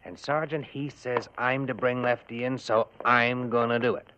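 A man's voice: mid-range voice, fairly even intonation, normal speed, sharp tone, highs cut off, close to an everyday voice. Eager, tough American cop played by a man, crackly recording. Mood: eager, urgent. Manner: colloquial, gung-ho. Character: eager, tough male police detective. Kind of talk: 1950 radio drama acting. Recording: noisy, crackly old radio recording.

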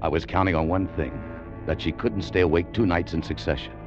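An American actor playing a wary, hard-boiled gold-hunting adventurer in an old radio drama, with clipped, tough, colloquial delivery. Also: male voice, low-pitched, brisk. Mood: tense, guarded. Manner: clipped, tough, colloquial first-person narration. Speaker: radio actor, lead and narrator. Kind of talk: 1940s-50s adventure radio drama acting. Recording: muffled vintage radio recording, music underneath.

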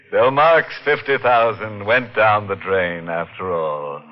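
An actor playing a gleefully macabre horror host, with a sardonic, drawn-out 1940s radio delivery. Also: male voice, on the low side. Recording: muffled old radio recording.

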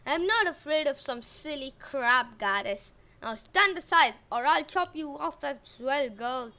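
Woman doing a gruff, bellowing arrogant prince. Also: sits high, expressive, measured pace, bright, forceful tone, heavily acted. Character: arrogant boastful young male prince.

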